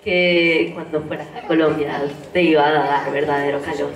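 A woman from Venezuela in the audience, giving soft, brief answers to the host. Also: sits low, fairly even intonation, moderate pace. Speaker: audience member. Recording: distant and echoey, with crowd behind.

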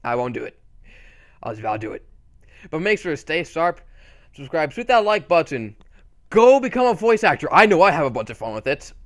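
A young man casually talking through a screen-recorded tutorial, rambling and correcting himself as he goes. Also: on the high side. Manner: rambling, self-correcting, excitable. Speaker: channel host.

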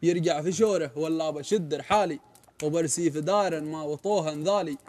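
A young man with an Omani Arabic accent, telling a story on a studio sofa and reciting verses in a slow chant. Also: on the high side, dry recording. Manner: animated, gestures a lot, slows to chant verses. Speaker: guest poet. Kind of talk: casual storytelling and poetry recital. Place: indoor studio set on a sofa.

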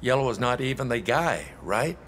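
An actor playing a stern, sardonic police chief in a video game, clipped and interrogating. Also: male voice, mid-range voice, animated, normal speed, fairly bright tone, in a large hall, slight background noise, close to an everyday voice. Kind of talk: cinematic video game acting.

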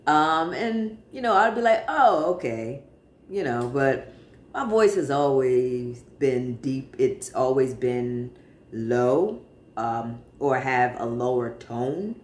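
A man in his forties talks to his camera from a living-room sofa in an easy, chatty way, pausing often. He speaks slowly at a middle pitch, and the small room lends his voice a noticeable echo. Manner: relaxed and chatty, many pauses and fillers. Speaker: vlogger. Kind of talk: casual personal update to camera. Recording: roomy, echoey home room.

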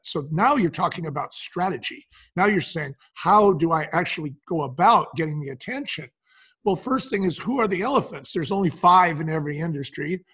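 A man with a fairly high, rough-edged voice talks as an interview guest. He explains business in a folksy, emphatic manner. He often poses a question and then answers it himself. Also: fairly bright tone. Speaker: guest, business advisor and brand founder.

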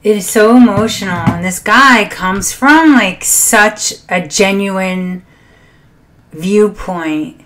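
A middle-aged woman hosting a reaction video, chatting to camera in a low, quiet voice from a small home room. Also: slow, noisy background. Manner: soft-spoken, laughs between thoughts. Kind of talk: casual chat to camera.

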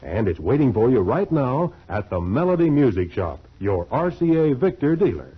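Animated male 1940s radio announcer. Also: mid-range voice, moderate pace, heavy background noise. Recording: dull old 1940s radio recording.

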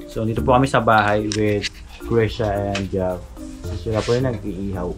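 A man's voice: on the low side, steady tone, moderate pace, music in the background. A young man hosting a casual vlog with friends, joking and hyping them up, filmed on an action camera. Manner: playful, joking, hypes up friends. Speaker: vlogger host. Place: beach, car and home patio.

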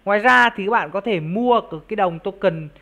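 Explanatory online reviewer with mid-pitched voice. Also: brisk, sharp tone. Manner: explanatory, addressing viewers directly. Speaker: YouTube crypto project reviewer and channel host. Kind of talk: informal screen-share review and walkthrough.

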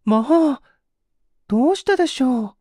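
Man voicing an anxious genteel young woman, high. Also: expressive, a little slow, a little mellow, dry recording, studio quality, full character voice. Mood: anxious, startled. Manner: polite, feminine phrasing. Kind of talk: audiobook character voice.

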